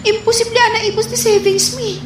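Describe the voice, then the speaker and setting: A woman's voice: very high voice, steady tone, fairly quick. A woman playing a flustered, defensive wife and dutiful daughter in a radio comedy drama, with an Ilocano accent. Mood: worried. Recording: echoey, faint background noise.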